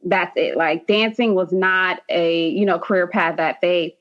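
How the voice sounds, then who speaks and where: A woman's voice: lowish voice, fairly even intonation, measured pace, dull audio. A young woman from Haiti, a nurse practitioner, chatting as a podcast guest with many "um"s and "like"s. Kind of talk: casual interview.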